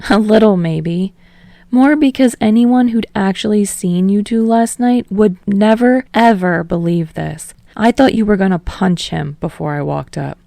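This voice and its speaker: Woman voicing a gentle, earnest young man, lifted pitch. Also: unhurried, gravelly, dry recording. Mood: teasing, soft. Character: easygoing, gentle, bashful young male rock musician.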